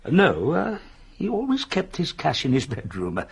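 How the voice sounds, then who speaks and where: A man's voice: on the high side, wildly swooping pitch, moderate pace, vocal fry, breathy edge, full character voice. An actor playing a precise, dutiful male secretary, deferential and a little hesitant, in a radio drama.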